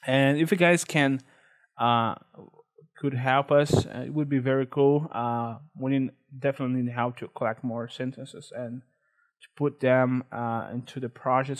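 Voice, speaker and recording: A young man whose first language is Portuguese, with a Brazilian accent, walking viewers through a website in a relaxed, hesitant way with many fillers and restarts. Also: medium pitch. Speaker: tutorial host. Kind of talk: screen-recorded walkthrough.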